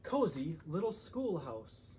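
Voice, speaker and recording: A man narrating a children's story in an animated style, as a story time reader. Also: on the high side, takes it slowly, a little hiss or hum.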